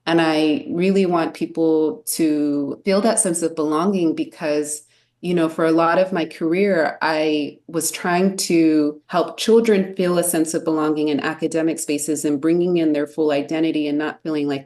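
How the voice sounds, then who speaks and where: Woman in her thirties, an educator appearing as a podcast guest. Her voice is low. Earnest and thoughtful. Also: steady tone, normal speed, a little mellow. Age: 30s. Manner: earnest and reflective. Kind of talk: casual interview chat.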